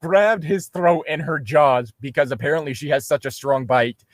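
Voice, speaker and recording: A man with a fairly high voice speaks in a very dry, close recording. He reads prose aloud and slips into casual, sarcastic commentary, swearing freely as he goes. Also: normal speed, dry recording. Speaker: co-host reading a fan fiction aloud and mocking it. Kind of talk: casual comedic commentary between read-aloud passages.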